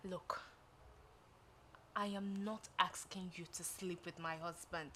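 A woman's voice: fairly low pitch, steady tone, slow, pushed and bright, slight background noise. A woman with a Nigerian accent playing an earnest, scheming wife in dramatic film dialogue. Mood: insistent.

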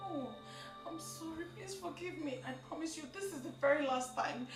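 A woman with a Nigerian accent playing an excitable, volatile best friend in a film melodrama. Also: sits high, moderate pace, reverberant, soft background music, natural voice. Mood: pleading.

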